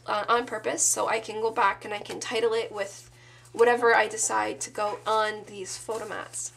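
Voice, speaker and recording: A woman in her thirties with a sore throat, casually showing off her handmade scrapbook album in a relaxed, chatty, enthusiastic way. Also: fairly high pitch, gravelly, a little hiss or hum. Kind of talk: casual show-and-tell.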